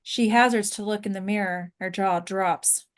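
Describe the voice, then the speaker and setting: A woman's voice: medium pitch, fairly even intonation, moderate pace. A woman narrating the stage directions of a film script at a table read.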